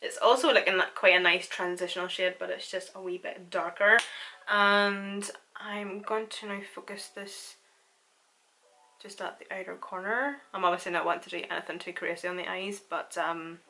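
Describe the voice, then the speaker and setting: A woman's voice: fairly low pitch, steady tone, normal speed, bright, forceful tone, rough recording. A young woman with a Northern Irish accent, chatting casually through a makeup tutorial and laughing often. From Northern Ireland. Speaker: beauty vlogger.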